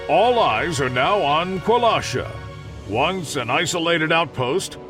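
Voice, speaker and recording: An actor playing a bombastic, patriotic propaganda newscaster in a video game, announcing war news in a grand, formal broadcast style. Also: male voice, a little high. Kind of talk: satirical wartime propaganda news broadcast narration. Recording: distant broadcast sound under loud music.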